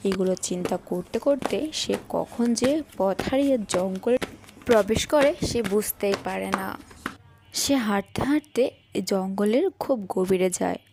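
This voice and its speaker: A woman's voice: medium pitch, moderate pace, vocal fry, noisy background. A woman narrator reading a scripted fairy tale in a storytelling style. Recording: music under the voice. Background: background music.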